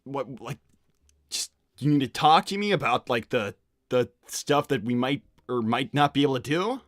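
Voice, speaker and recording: A man's voice: mid-range voice, expressive, normal speed, no echo, studio quality, close to an everyday voice. A man playing a casual, awkward young cartoon hero in improvised livestream roleplay. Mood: hesitant, easygoing. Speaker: performer voicing game characters on a fan livestream.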